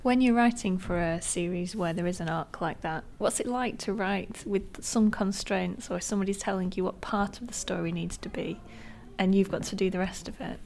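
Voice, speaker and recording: A woman's voice: mid-range voice, normal speed, gravelly, a little mellow. A woman asking questions casually as an interviewer. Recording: faint background noise and ambience.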